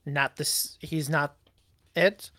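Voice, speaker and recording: Slow, deliberate man explaining doctrine. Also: fairly high pitch, steady tone, bright, forceful tone, no echo, studio quality. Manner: deliberate, halting, with fillers and self-corrections. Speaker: co-host discussing a church confession. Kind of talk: Bible study discussion show.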